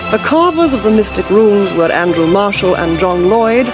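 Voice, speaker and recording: Mock-epic radio announcer, played by a woman: formal, grand, animated intonation over music. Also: mid-range voice, normal speed, close to an everyday voice. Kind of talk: mock-solemn scripted radio credits announcing.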